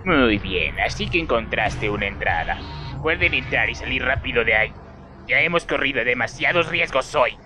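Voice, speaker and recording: A man's voice: mid-range voice, expressive, normal speed, over music, natural voice. A man with a Latin American accent playing a stern, wary, protective older con man mentor in a game fan dub. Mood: stern, cautious.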